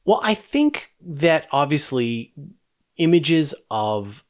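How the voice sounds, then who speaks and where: A man's voice: on the high side, sing-song, takes it slowly. A man hosting a question-and-answer show, explaining things in a casual, enthusiastic and emphatic conversational way.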